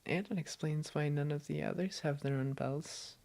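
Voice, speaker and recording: A woman playing a doting, gently teasing girlfriend in an intimate roleplay audio, affectionate and reassuring. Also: low-pitched, normal speed, heavy background noise. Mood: playful, fond.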